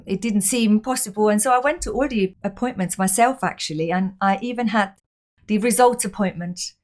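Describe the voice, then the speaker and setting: A woman's voice: medium pitch, moderate pace. A woman from Austria, a podcast guest telling her personal story in an open, reflective way.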